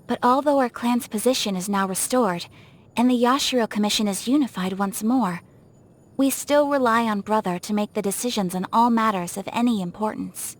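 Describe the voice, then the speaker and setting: A woman's voice: mid-range voice, lightly acted. A woman voicing a refined, reserved young noblewoman of a samurai clan in a video game, speaking formally and politely with poise. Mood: solemn, confiding. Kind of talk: scripted game character voice lines.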